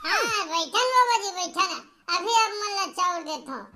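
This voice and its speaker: An actor voicing a cheeky village child in a cartoon comedy, squeaky and brash, with a Chhattisgarhi accent. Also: big pitch swings, a little slow, very noisy, heavily acted.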